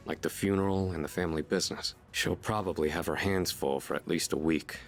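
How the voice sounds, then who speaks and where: A man playing a level-headed senior student leader, steady and matter-of-fact, in an anime-style game dub. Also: low-pitched, a little fast, soft background music.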